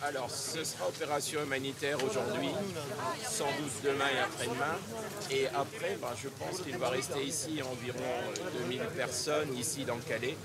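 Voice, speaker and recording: Gravelly man explaining amid a crowd. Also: medium pitch, steady tone. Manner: measured, hesitant explanation. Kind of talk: explaining to camera. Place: outdoors at a camp. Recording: loud crowd outdoors.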